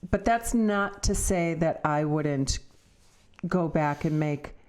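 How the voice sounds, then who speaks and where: A woman co-hosting a podcast in casual, unscripted chat, reflective and halting as she searches for words. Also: deep voice, a little mellow. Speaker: podcast co-host.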